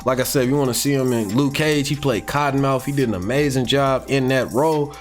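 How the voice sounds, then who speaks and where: A man's voice: medium pitch, brisk. Slangy American man rambling over music. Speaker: YouTube commentator on comics and animation. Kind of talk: casual unscripted fan commentary. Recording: music playing behind the voice. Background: background music.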